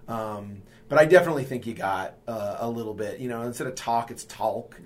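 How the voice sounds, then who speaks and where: A man's voice: medium pitch, brisk. A man hosting a podcast as the editor, talking casually and hesitantly with many fillers.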